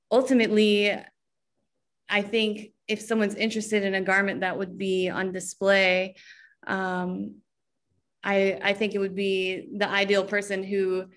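A young woman designer on a video call from home, talking slowly and searching for words with frequent restarts. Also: medium pitch, flat delivery, a bit bright. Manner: slow, searching, with many restarts. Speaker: fashion designer. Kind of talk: relaxed video call talk. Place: home room with painted backdrop.

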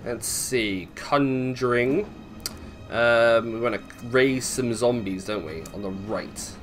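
A man's voice: medium pitch, takes it slowly, faint music behind. A British man giving casual gameplay commentary, thinking aloud, swearing and questioning himself as he goes. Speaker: gaming video host.

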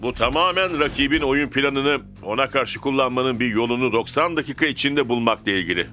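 Stern football coach voiced by a man, forceful bright voice, mid pitch, firm, instructive, cartoon, faint music behind. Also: a little fast, close to an everyday voice. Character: stern, commanding adult male football coach. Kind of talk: dubbed cartoon acting.

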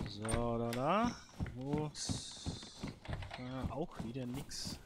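Male let's player, medium pitch, casual thinking-aloud commentary, noticeably noisy recording. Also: very slow. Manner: colloquial, musing to himself. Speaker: gamer, let's play host.

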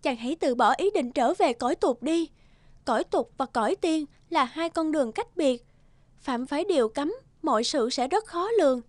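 An actress playing a gentle young fairy wife in an animated folktale, speaking tenderly and formally. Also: female voice, sits high, moderate pace, no room sound. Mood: worried, warning.

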